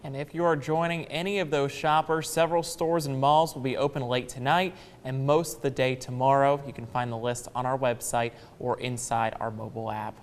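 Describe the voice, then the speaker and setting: A man's voice: mid-range voice, flat delivery, a little fast, few pauses, long reverb tail. A man with an American accent reading scripted news as a television anchor. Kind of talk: scripted newscast delivery.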